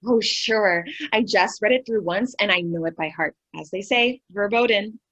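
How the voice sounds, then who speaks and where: A woman's voice: mid-range voice, moderate pace, fairly bright tone, highs cut off, lightly acted. A woman playing a ditzy, cheerful and naive young working woman in old-time radio comedy style. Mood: naively confident. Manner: naive, earnest, mangles what she means to say.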